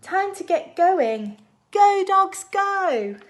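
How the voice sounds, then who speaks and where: Woman, children's storytime reader, very lively intonation, unhurried pace, expressive delivery. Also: extremely high pitch. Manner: expressive, animated, deliberate phrasing for small children. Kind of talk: read-aloud storytime for young children.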